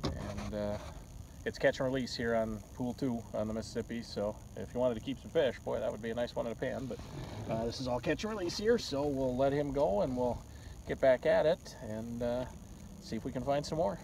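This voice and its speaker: A middle-aged man hosting a fishing show from a boat on a river, calmly explaining how he hooks and works his bait, with plenty of "uh" fillers. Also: medium pitch, brisk, vocal fry. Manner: easygoing, explains step by step with many "uh" fillers. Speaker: fishing guide and show host. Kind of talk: casual instructional fishing commentary. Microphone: camera mic on the boat. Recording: loud wind and motor noise outdoors. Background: boat and water noise.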